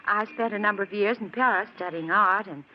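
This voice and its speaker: A woman playing a gentle, reserved former sweetheart in a 1940s radio drama, polite and slightly hesitant. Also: medium pitch, brisk, lightly acted. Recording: muffled, hissy 1940s radio recording.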